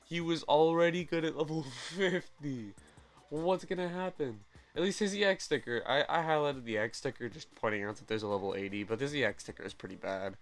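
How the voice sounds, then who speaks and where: A young man talks through gacha game news in a loose, rambling way. He gets excited, trips over his words and corrects himself as he goes. Faint music plays underneath. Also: on the high side, fairly quick. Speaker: gaming channel host. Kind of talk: casual game news commentary.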